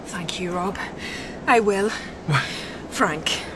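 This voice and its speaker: A woman's voice: mid-range voice, extremely slow, noisy background. An actress playing a spirited, dry-witted young woman in danger, frank and urgent, in a radio drama. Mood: resolute, dry.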